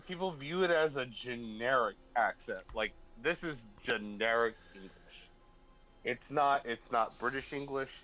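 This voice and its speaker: A man's voice: mid-range voice, a bit bright, highs cut off. A man from the southern United States with an American accent, rambling in casual chat with many fillers.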